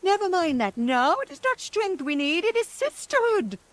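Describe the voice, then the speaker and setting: Storybook role: an old sightless hag, high-pitched, imperious and squabbling, declaiming in archaic phrases. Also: female voice, very animated, normal speed, no room sound. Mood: urgent. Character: ancient blind witch hag, shrill, bossy and quarrelsome.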